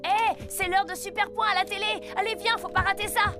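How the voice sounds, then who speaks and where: A woman in a French cartoon dub playing a young schoolboy who is friendly, excitable and a little bossy. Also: very high voice, brisk, music in the background. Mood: explaining.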